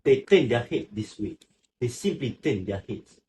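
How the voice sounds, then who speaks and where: A solemn male stranger acted out by a man in an amateur stage drama. He recounts things deliberately in short phrases, with a mid-pitched, very varied intonation, over clearly audible background noise. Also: expressive, dark tone, lightly acted. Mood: earnest. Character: solemn male stranger who found a drowned woman. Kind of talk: student stage-play acting. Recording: noisy amateur recording with ambient sound.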